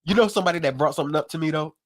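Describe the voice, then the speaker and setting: A man co-hosting a podcast in casual chat, excitable and repeating himself. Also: a little high, racing. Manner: excitable, repeats himself, talks over others. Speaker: podcast co-host.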